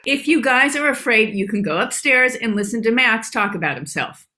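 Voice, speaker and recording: A woman voicing a bossy, stubborn, self-assured dog in an animated comedy table read, insistent in manner. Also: a little high, normal speed. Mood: condescending.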